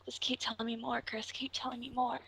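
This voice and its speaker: A woman making a prank phone call, gushing in a breathy, exaggeratedly flirtatious way. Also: fairly high pitch, level tone, brisk, a bit bright, slight background noise. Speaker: prank caller.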